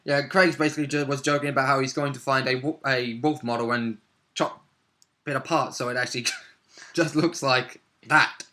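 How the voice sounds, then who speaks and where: A man's voice: mid-range voice, steady tone, normal speed, sharp tone. A man co-hosting as a player, bantering casually about a tabletop game. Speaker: co-host and player.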